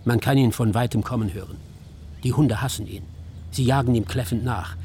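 A man narrating a scripted radio documentary in a measured, descriptive storytelling manner. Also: medium pitch, darkish tone, a little hiss or hum. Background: music.

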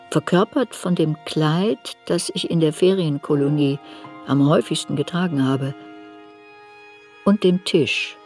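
An actress playing a reflective woman looking back on a troubled youth, narrating a radio drama in a measured, introspective way. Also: deep voice, darkish tone. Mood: pensive. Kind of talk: literary radio drama narration, first-person memoir. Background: music.

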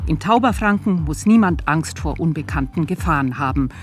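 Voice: medium pitch, expressive, moderate pace, soft background music, natural voice. A performer playing a sober radio news reader, delivering scripted bulletins in a formal, factual manner. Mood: neutral, formal.

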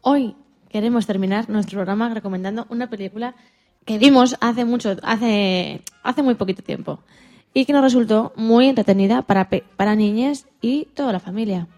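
A woman co-presenting on radio, reading a scripted recommendation haltingly and stumbling over words. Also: a little high, darkish tone, soft background music.

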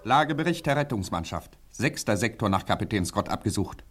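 An actor voicing a rescue team member reading a sober official report. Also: male voice, fairly low pitch, lively intonation, a little fast, a bit bright, breathy edge, soft background music. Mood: matter-of-fact.

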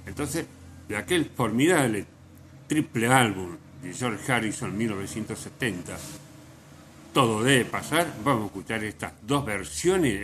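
Slow-talking man hosting music radio. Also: mid-range voice, fairly bright tone, a little hiss or hum. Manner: halting, with frequent repetition for emphasis. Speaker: radio host. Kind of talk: music radio commentary, unscripted storytelling.

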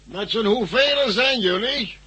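An actor playing a gruff, dutiful door guard in a 1960s radio drama, curt and instructing. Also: male voice, very high voice, takes it slowly, bright, forceful tone, heavily acted.

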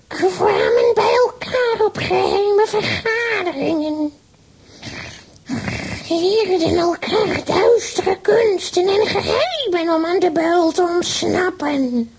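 Casting: vengeful old witch for children's radio drama, very high, creaky, extremely slow declaiming. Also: animated, a little mellow, slight background noise, heavily acted. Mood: trance-like, bitter. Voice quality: shrill, creaky. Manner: slow, drawn-out, theatrical declaiming. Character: cackling old witch, bitter, vengeful and wily. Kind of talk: children's radio play acting.

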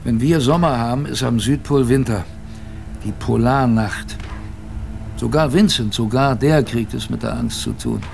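A man acting in a radio drama as an earnest, plain-spoken storyteller recalling his youth on a polar expedition, conversational, with pauses and repetitions. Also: medium pitch, gravelly, a little mellow, faint music behind. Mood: reminiscing. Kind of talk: first-person radio drama narration.

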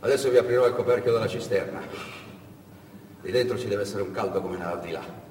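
A man narrating as a stage actor, telling a story dramatically and expressively with pointed pauses. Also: lowish voice. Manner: expressive, with vocal sound effects and pointed pauses. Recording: echoing hall, distant mic, background noise.

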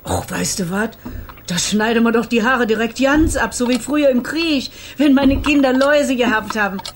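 A woman's voice: fairly high pitch, moderate pace, slight background noise, lightly acted. An actress playing a blunt, motherly older woman with a Rhineland German accent in a radio play, folksy and down-to-earth. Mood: brisk, comforting.

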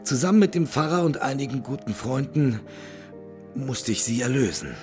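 A man playing a grave, courteous older gentleman haunted by tragedy, speaking formally and with measure in a German audio drama. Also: mid-range voice, lively intonation, normal speed, soft background music, natural voice. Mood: grave, bitter.

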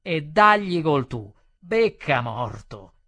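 Man playing a sullen waiter, muttering slowly. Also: a little high, big pitch swings, sharp tone, no room sound, heavily acted. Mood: sullen defiance. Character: resentful waiter, muttering insults, sullenly defiant.

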